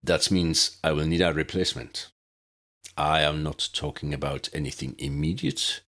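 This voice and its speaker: A man voicing a senior government minister in an audiobook, deep, solemn, measured and formal. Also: fairly even intonation, fairly bright tone, exaggerated voice. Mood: grave, measured.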